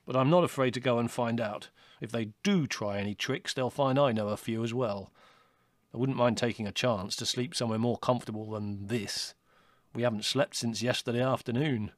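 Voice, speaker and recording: A man's voice: medium pitch, normal speed, dry recording, clean studio sound, lightly acted. A man reading a storybook, voicing a bold, tough young male rabbit who is ready for a fight. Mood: gruff bold.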